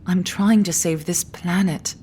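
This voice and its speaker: An actress playing a weary, resolute immortal woman leader in an audio drama, speaking in a breathy, whispering, intimate way. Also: on the low side, steady tone, a little slow, warm tone, slight background noise, natural voice. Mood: grave, resolute. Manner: breathy, whispering, grave delivery.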